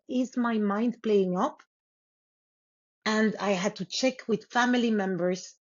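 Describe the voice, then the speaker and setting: A woman's voice: mid-range voice, a little mellow. Earnest woman from Congo, muffled audio. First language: Lingala. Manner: earnest, unhurried, with pauses and restarts. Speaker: guest author. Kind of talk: reflective interview storytelling.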